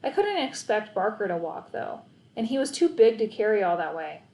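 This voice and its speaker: A woman reads a children's story aloud with expressive, dramatic phrasing. Her voice sits at a middle pitch. The room adds a clear echo around her words. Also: normal speed. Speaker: read-aloud narrator. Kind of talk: expressive children's audiobook narration, first person.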